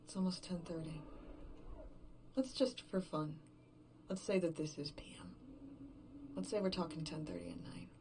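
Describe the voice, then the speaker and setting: Woman acting worn out scared lady alone, kinda low voice, rambling to herself haltingly, horror podcast drama, noisy recording. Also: darkish tone, natural voice. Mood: bleak, resigned. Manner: halting, repetitive, thinking aloud, swearing. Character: exhausted, frightened woman game writer, alone after everyone vanished. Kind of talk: horror audio drama monologue, recorded-diary feel.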